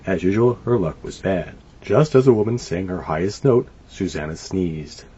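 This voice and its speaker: Guy with a lowish voice narrating a kids storybook, super expressive, bit lo-fi with music behind. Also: moderate pace, slightly rough. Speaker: storybook reader. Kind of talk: scripted children's story narration. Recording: dull lo-fi sound. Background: light music.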